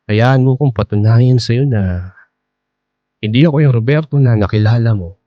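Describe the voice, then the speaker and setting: A man's voice: fairly low pitch, no room sound, highs cut off, close to an everyday voice. An actor playing an older, playful Filipino womanizer who is earnestly courting, in a hesitant, coaxing radio drama style. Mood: earnest, pleading. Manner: hesitant, coaxing, peppered with interjections.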